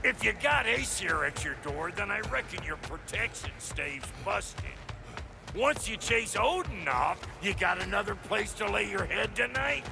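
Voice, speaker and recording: Grumbling dwarf smith for a fantasy game: high-pitched, very animated, blunt and folksy, gravelly, music underneath. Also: male voice, measured pace, exaggerated voice. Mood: brusque, helpful. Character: brash, foul-mouthed, grumbling male dwarf blacksmith.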